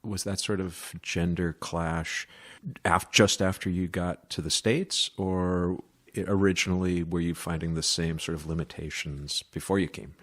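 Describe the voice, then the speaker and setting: A woman's voice: very deep voice, steady tone, measured pace. A woman hosting a podcast, interviewing a guest in a casual, conversational way.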